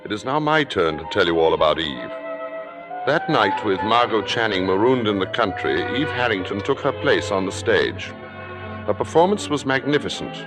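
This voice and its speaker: A man's voice: on the low side, animated, normal speed, over music, close to an everyday voice. A man acting a suave, knowing theater critic who narrates a radio drama in an arch, precise, urbane way. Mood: arch intrigue.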